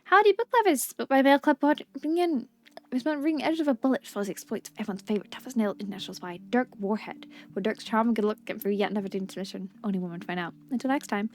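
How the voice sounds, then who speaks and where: A woman's voice: on the high side, fast, darkish tone, lightly acted. Woman reading as dramatic pulp spy narrator. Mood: hyped. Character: excitable announcer of a pulpy spy book club. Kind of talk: dramatic pulp storytelling read aloud. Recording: game music behind the voice.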